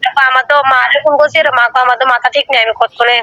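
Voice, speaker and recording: A woman's voice: high voice, fairly even intonation, rapid-fire, pushed and bright, muffled recording. A woman with an eastern Bengali dialect in casual domestic talk on a recorded call. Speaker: wife on a call with her husband. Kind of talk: casual domestic phone chat, arguing.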